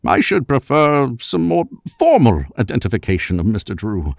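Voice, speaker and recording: A man's voice: medium pitch, huge pitch swings, moderate pace, vocal fry, dry recording, studio quality. An actor playing a courtly, absent-minded older professor and father in a vintage-style radio mystery, formal and musing. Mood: formal, cautious.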